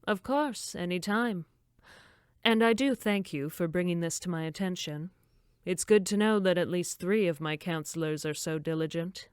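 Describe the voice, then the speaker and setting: A woman's voice: on the low side, moderate pace, smooth, dry recording, studio quality, lightly acted. A woman playing a prim, condescending female camp director in a horror audio drama, coolly polite. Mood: falsely polite. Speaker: voice actor.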